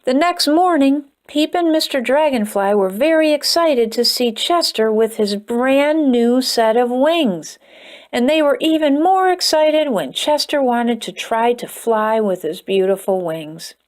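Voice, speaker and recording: A woman reading a children's picture book aloud as storyteller, expressive and soothing. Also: on the high side, a little slow.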